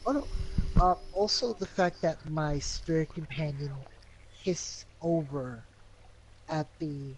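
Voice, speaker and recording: A man's voice: fairly high pitch, slow, a little hiss or hum, poor audio. A man chatting casually as a player in a live tabletop role-playing game.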